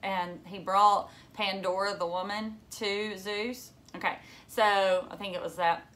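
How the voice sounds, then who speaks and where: A woman's voice: medium pitch, steady tone, a little slow, a bit bright. A woman in her thirties reading a children's novel aloud like a teacher, expressively, with casual asides. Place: furnished living room at home.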